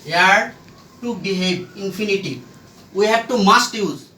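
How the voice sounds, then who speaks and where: Male teacher, bright voice, halting emphatic phrasing, grammar lesson, echoey distant recording. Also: high-pitched, animated. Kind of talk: instructional grammar lesson at a board. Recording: roomy echo, distant mic, faint noise.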